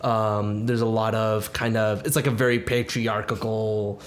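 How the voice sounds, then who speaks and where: A man's voice: lowish voice, flat delivery, a little slow. A man born in Taiwan, a podcast guest talking casually with plenty of fillers and restarts. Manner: chatty, lots of fillers and restarts. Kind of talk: casual chat.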